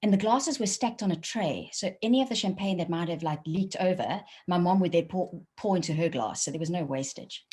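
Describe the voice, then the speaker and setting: A woman's voice: on the low side, brisk, hardly any pauses, husky. A woman with a South African accent, giving an online wellbeing talk and telling personal stories with light laughs. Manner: animated storytelling with light laughs. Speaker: wellbeing speaker. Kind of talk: webinar talk. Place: home office.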